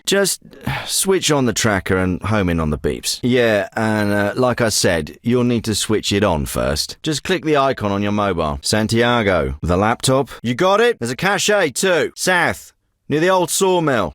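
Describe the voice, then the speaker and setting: A commanding special forces officer in a war game, who speaks in terse radio calls with a dry wit. His pitch moves a lot as he talks. The studio sound is close and dry. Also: a bit bright, no echo. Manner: clipped military radio talk. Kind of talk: video game voice acting.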